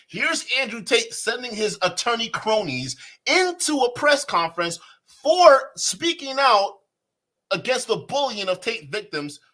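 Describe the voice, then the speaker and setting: A man reacting to a clip on his stream in loose, excitable slang, often laughing and calling people bro. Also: sits high. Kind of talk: casual reaction commentary.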